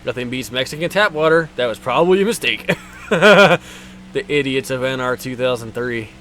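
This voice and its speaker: A man's voice: mid-range voice, expressive, moderate pace, fairly bright tone, slight background noise. A man in his twenties doing casual, foul-mouthed live commentary while streaming a racing game, bantering jokily with viewers.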